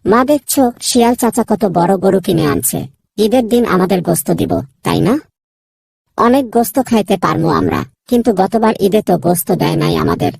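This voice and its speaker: Woman voicing a creaky, husky little cartoon child. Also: medium pitch, brisk, darkish tone, dry recording. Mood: hopeful, wistful. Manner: childlike, pleading. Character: naive, eager young animal child. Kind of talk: Bengali cartoon dialogue.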